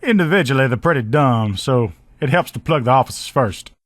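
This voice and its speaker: A man's voice: medium pitch, expressive, brisk, no echo, close to an everyday voice. Blunt, folksy military lieutenant, man acting dry close recording. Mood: blunt disdain. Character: gruff, plain-spoken male power armor lieutenant, loyal, blunt. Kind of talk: video game character dialogue.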